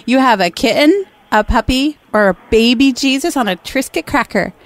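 A woman's voice: fairly high pitch, lively intonation, normal speed. A woman co-host making a prank call in a sugary, teasing manner, calling the other person honey and sweetheart.

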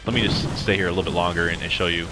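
Young guy with a really low voice explaining a game, lots of ums, game music going under him. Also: very fast, low-quality recording. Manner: relaxed, rambling, many ums and restarts. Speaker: gameplay commentator. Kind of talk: casual game walkthrough commentary.

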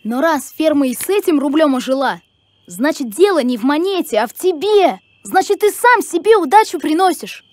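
A woman voicing a sensible, kind young child in an animated series, earnest and reassuring. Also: high-pitched, normal speed, dry recording, clean studio sound.

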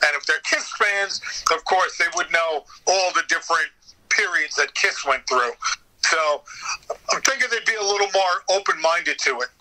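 A man giving a casual interview as a guest, telling stories in an animated way with mild swearing and quoted exchanges. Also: on the high side, moderate pace, poor audio. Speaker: podcast guest, voice actor.

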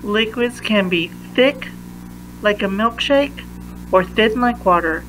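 Female read-aloud narrator for a kids' science book, unhurried and emphatic, faint noise behind. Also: mid-range voice, animated, a bit bright, low-quality recording. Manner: deliberate, emphatic phrasing for young listeners.

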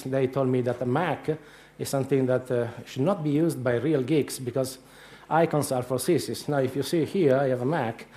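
A middle-aged man with a marked Italian accent speaks in a fairly high voice, spinning wry anecdotes at a conference. He hesitates often between phrases and speaks from a lectern in a large lecture hall. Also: a little mellow. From Italy. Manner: anecdotal storytelling with frequent hesitations. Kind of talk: humorous conference talk.

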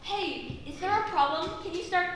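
An impatient, self-absorbed female shopper acted by a young woman in a stage comedy. She speaks high, in a very echoey hall with clear background noise. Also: moderate pace, fairly bright tone. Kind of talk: amateur stage comedy acting. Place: theatre stage, distant camera. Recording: echoey stage, noisy distant recording.

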